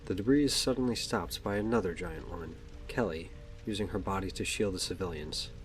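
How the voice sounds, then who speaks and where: Casting: male narrator for a scripted superhero audio drama, deep voice, faint music underneath. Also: brisk.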